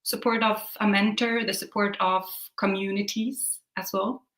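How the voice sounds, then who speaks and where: A young woman from Sweden, a Swedish native speaker, talking hesitantly with many pauses and fillers as a guest on a video call. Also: mid-range voice, steady tone, fairly bright tone, echoey room, rough recording. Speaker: guest, new translator.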